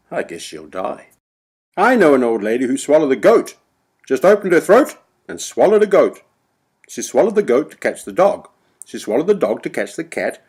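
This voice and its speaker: A man's voice: on the high side, darkish tone, breathy edge. A man reciting a rhythmic nursery rhyme as a storyteller, playfully dramatic in his emphasis.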